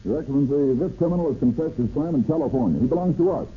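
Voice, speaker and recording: An actor playing an earnest state prosecutor in an old radio drama, formal and persuasive. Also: male voice, mid-range voice, animated, very fast, faint music behind, natural voice. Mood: earnest pleading. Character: earnest, formal male state prosecutor pleading his case. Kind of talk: 1930s radio acting. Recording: muffled, hissy old radio recording.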